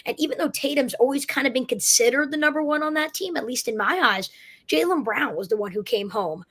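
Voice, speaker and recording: A woman hosting a sports show, asking long, steady questions with casual fillers. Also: high voice, brisk. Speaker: show host, interviewer.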